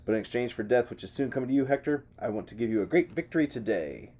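A man's voice: mid-range voice, lively intonation, fast, darkish tone, close to an everyday voice. A man reading a solemn, sorrowful god. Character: sorrowful all-powerful male god.

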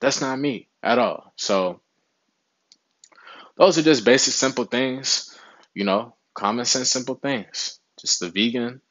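A man's voice: medium pitch, a little slow, a bit bright, no room sound, lo-fi sound. A young man giving casual motivational health advice to camera in a small room, laid-back and often saying "you know what I'm saying". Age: young adult. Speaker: vlogger. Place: small indoor room with window behind.

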